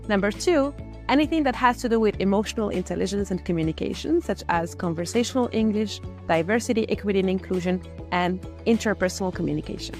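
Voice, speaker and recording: A woman's voice: medium pitch, expressive, fast. Algerian woman, scripted promo over music. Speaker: founder presenting an e-learning platform. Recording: music behind the voice.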